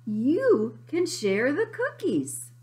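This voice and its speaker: A woman's voice: high voice, wildly swooping pitch, extremely slow, warm tone, pitched above the performer's own voice, full character voice. A woman voicing a kindly, generous mother in a picture book, with a singsong, welcoming manner. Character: kindly, generous mother, proud of Grandma's baking.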